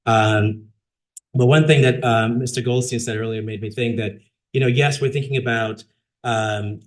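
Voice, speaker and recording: A man, a city council member, giving earnest remarks at a council meeting, with many fillers and restarts. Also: fairly low pitch.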